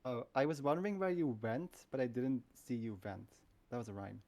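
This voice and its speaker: A man's voice: mid-range voice, lively intonation, normal speed, darkish tone. A man chatting casually while explaining his moves as a player in an online game.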